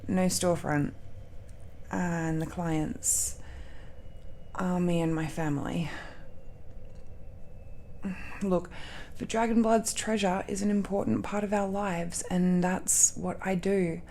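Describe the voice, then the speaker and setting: A woman performs a secretive, affectionate dragon-blooded girlfriend in a romantic audio roleplay. She speaks very slowly in a warm, dark tone, tender and hesitant, with many pauses. There is a light noise behind the voice. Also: on the low side, steady tone. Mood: hesitant, reassuring. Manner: hesitant, tender, pausing often.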